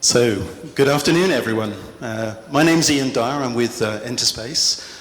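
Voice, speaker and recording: A middle-aged man giving a relaxed conference talk, self-deprecating and full of "uh" fillers. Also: mid-range voice, moderate pace, crowd noise. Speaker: conference speaker. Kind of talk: conference presentation. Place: large conference hall. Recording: echoey hall with faint noise.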